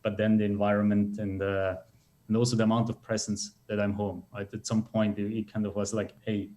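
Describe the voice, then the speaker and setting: Mellow, lowish-voiced man chatting as a guest. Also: level tone, brisk. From Chile and Switzerland. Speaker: interview guest. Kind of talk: casual conversational interview answers.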